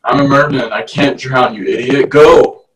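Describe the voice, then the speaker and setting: A man playing a proud, gruff merman warrior in a fantasy table read, curt and recorded at a distance. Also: mid-range voice, fairly even intonation, moderate pace, close to an everyday voice. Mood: scolding. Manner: curt, terse. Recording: distant from the microphone.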